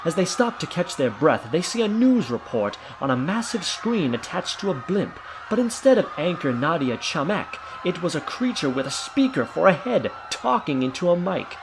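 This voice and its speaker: A man's voice: fairly high pitch, very animated, moderate pace, hardly any pauses. A man narrating a scripted audio drama in a theatrical storytelling manner, with noise behind him. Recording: clear background noise and ambience.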